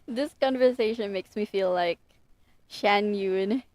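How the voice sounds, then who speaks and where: A woman's voice: medium pitch, slow pace, darkish tone, no room sound. A woman streamer talking casually as she plays a game on a livestream.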